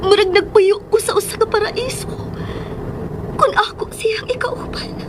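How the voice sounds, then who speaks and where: A very high-voiced, anguished radio-drama mother. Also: steady tone, measured pace, background noise. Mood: anguished, yearning. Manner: emotional, prayerful, breaking into hushed soliloquy. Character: anguished mother torn between lost love and her child. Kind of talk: Philippine radio drama acting. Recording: radio drama with music underneath. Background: dramatic background music.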